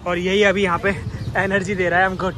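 A man's voice: sits high, little pitch movement, a little fast. A chatty man vlogging casually as he walks around an outdoor market, often repeating himself. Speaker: vlogger filming a market tour. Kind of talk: casual street vlog chat. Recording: loud busy street noise, crowd and traffic.

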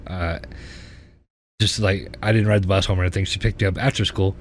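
A man in his thirties hosting a podcast, telling his life story in a rambling, halting way with many restarts. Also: sits low, steady tone, a little hiss or hum. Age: 30s. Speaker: podcast host. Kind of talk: casual personal storytelling.

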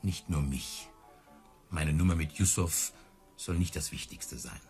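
Radio play circus tamer: extremely deep voice, touchy pride, earnest hopes. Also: male voice, steady tone, a little slow, darkish tone, soft background music. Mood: proud, indignant. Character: proud, easily hurt circus tamer, hopeful suitor.

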